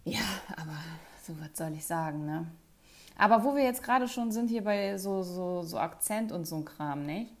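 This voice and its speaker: A woman chatting casually with a friend, talkative and quick to laugh, with lots of fillers. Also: lowish voice, creaky. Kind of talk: casual chat.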